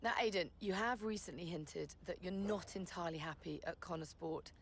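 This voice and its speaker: A woman's voice: on the low side, moderate pace, slight background noise, lightly acted. A woman playing a cheeky sports reporter in a video game, asking direct, polite questions. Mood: brisk, probing. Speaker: actor in a racing game. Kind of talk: press interview in game cutscene.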